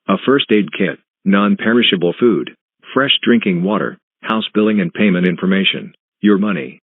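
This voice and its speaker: A man reading a scripted emergency alert announcement in a formal, impersonal, measured manner. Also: low voice, no echo. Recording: tinny phone-like alert broadcast audio.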